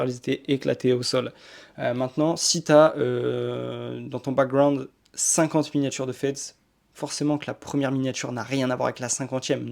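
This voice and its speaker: A man's voice: medium pitch, gravelly, a little mellow. A young man giving casual, rambling motivational marketing advice to camera, repeating his points with many fillers. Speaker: marketing coach.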